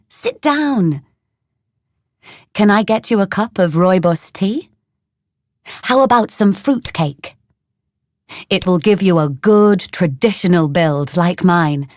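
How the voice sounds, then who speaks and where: Lady playing a friendly motherly lady detective, offering tea, talks really slow. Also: medium pitch, a little mellow, dry recording, clean studio sound. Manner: welcoming, chatty, slow. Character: warm hospitable motherly woman detective. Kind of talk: scripted reading for learners.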